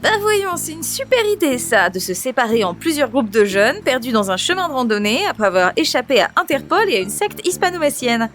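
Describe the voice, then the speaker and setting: A woman's voice: sits high, big pitch swings, a little fast, hardly any pauses, a bit bright, faint music behind. A woman playing a sarcastic, doubtful young traveller in a comedic audio drama. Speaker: fiction podcast character. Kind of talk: comedic audio fiction dialogue.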